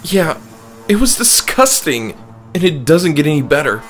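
A man's voice: on the high side, big pitch swings, normal speed, faint music behind. Man voicing Omar, a frightened, agitated survivor. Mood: disgusted, shaken. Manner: agitated, insistent, breaking into urgent protest. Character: Omar, frightened injured male friend, agitated and defensive. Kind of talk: horror audiobook character dialogue.

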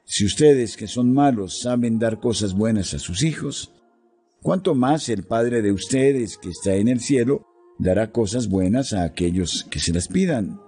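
Solemn man reading devotions over soft music. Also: lowish voice, lively intonation, breathy edge. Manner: solemn, measured reading with pauses. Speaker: devotional reader. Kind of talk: slow scripted devotional narration.

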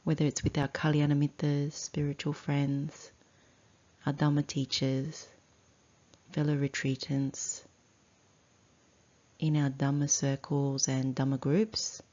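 Slow, low-pitched woman guiding a meditation. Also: flat delivery, dark tone, highs cut off. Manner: measured, deliberate, with long pauses between short phrases. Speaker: meditation teacher. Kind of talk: slow guided meditation instruction.

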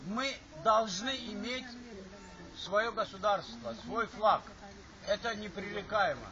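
A man's voice: sits high, slow pace, pushed and bright. An older man from Azerbaijan giving a rambling, repetitive speech at an alumni reunion in an assembly hall. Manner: rambling, repeats himself, stops to restart. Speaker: alumni speaker. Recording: dull lo-fi recording with chatter from the audience.

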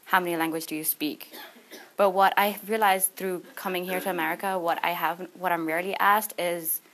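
A woman's voice: fairly low pitch, fairly bright tone. A young adult woman, a student speaker, giving an informal, conversational presentation in a small classroom. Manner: conversational, anecdotal. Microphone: camera a few meters away.

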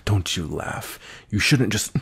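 A man playing a cold, possessive, dominant vampire knight in an intimate ASMR roleplay, with a slow, breathy, menacing murmur. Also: fairly low pitch, animated, full character voice. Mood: cold, stern. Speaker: audio roleplay voice actor.

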